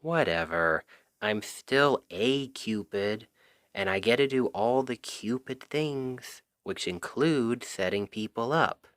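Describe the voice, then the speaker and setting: Male actor as young male Cupid, exasperated but smitten best friend, ASMR roleplay, swooping intonation, unhurried, emphatic. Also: medium pitch, dry recording, clean studio sound. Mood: casual explaining. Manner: expressive, conversational, emphatic. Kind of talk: ASMR roleplay audio drama, one-sided conversation.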